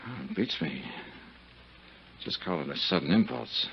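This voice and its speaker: An actor playing a tough, wisecracking investigator in 1950s radio detective drama, blunt and sardonic, with a husky voice. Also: male voice, low-pitched, lively intonation, normal speed, slight background noise. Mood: wry, intimate. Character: tough, brash, wisecracking American male investigator. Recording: dull 1950s radio broadcast recording.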